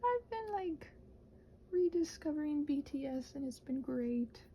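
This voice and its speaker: A soft-spoken woman in a casual, rambling chat while she crafts, meandering and interrupting herself as she murmurs along. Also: sits high, lively intonation, unhurried, slight background noise. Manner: meandering, digressive, self-interrupting. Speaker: craft vlogger and audio creator.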